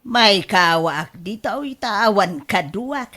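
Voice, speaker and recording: A woman with an Ilocano accent playing an excitable, fretful middle-aged mother in a radio drama, expressive and exclamatory. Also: medium pitch, slow pace. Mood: cheerful, inviting. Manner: expressive, exclamatory, laughs easily.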